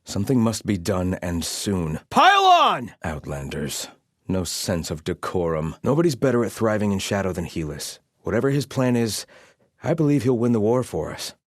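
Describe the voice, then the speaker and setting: Casting: scornful, devout desert-kingdom soldier for game barks, clipped military delivery, big pitch swings, dry close sound. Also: male voice, huge pitch swings, normal speed, no echo. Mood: urgent battle shouting, then scornful, haughty. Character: haughty, zealous male desert-kingdom soldier, scornful of outsiders. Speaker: video game voice actor. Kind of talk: game combat barks and ambient character lines.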